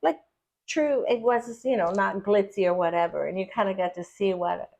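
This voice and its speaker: A woman's voice: medium pitch, moderate pace, vocal fry, darkish tone. Chatty middle-aged woman telling travel stories. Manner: chatty, rambling storyteller who laughs easily. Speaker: podcast guest. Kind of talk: casual conversation.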